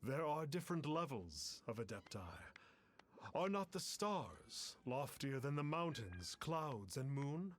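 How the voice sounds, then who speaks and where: Slow, pompous immortal sage. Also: male voice, medium pitch, big pitch swings. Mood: smug. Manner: pompous, stilted formal phrasing. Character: pompous self-proclaimed male immortal sage, theatrical and grandiose. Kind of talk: video game voice acting.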